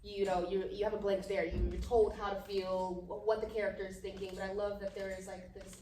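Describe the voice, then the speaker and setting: Mellow-voiced woman in echoey room. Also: mid-range voice, flat delivery, brisk. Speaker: actor. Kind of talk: casual panel discussion. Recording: echoey room, distant mic, faint noise.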